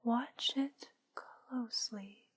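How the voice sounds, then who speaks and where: A teasing witch hypnotist, acted by a woman, speaks in a breathy, feminine whisper that sits a little high. Her phrases come extremely slowly and softly, soothing and seductive in the manner of an ASMR hypnosis roleplay. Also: full character voice. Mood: hypnotic, lulling. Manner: slow, soothing, drawn-out delivery.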